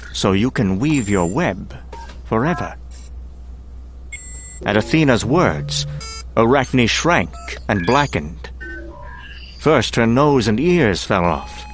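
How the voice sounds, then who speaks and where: A man acting as a menacing, grandiose scientist-industrialist in a comic-book drama, speaking theatrically with deliberate pauses. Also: mid-range voice, lively intonation, a little hiss or hum. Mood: theatrical storytelling.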